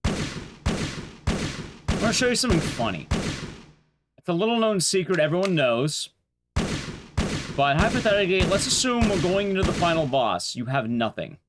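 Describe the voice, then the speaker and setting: A man's voice: sits high, sing-song, moderate pace, long pauses. A man streaming casual speedrun commentary, rambling wryly to his chat. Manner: wry, rambling asides to chat. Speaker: game streamer. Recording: game audio noise behind voice. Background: game gunfire and clicks.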